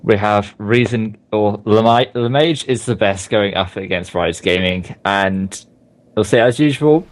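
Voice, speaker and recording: A young man with a hoarse, strained voice, casting an online game match in a rambling, filler-heavy way. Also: fairly low pitch, measured pace, rough recording. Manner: rambling, many fillers and restarts. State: losing his voice. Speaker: esports caster. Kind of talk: casual game commentary.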